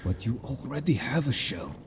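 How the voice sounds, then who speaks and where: A man's voice: medium pitch, sing-song, normal speed, background noise, close to an everyday voice. A man playing a stern but caring, protective merman in a fantasy audio drama, speaking firmly and formally. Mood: probing. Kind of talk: scripted audio drama acting.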